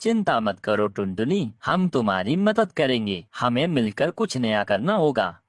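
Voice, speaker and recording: A woman's voice: extremely low pitch, big pitch swings, a little slow, no room sound, clean studio sound, full character voice. A woman voicing a friendly, encouraging male parrot in an earnest cartoon story. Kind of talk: cartoon moral story dialogue.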